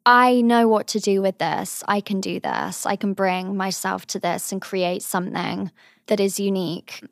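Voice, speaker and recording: A young woman from England with a high voice, giving thoughtful, earnest interview answers as a drama student. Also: no room sound, studio quality. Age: young adult. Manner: thoughtful, earnest, softly trailing phrases.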